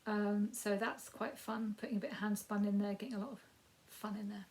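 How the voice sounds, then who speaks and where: Croaky Yorkshire woman in her fifties. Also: mid-range voice, level tone, a little fast, darkish tone, slight background noise. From Yorkshire, England. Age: 50s. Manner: rambling, with frequent ums and trailing asides. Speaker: crafting podcast host. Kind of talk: casual chatty crafting update.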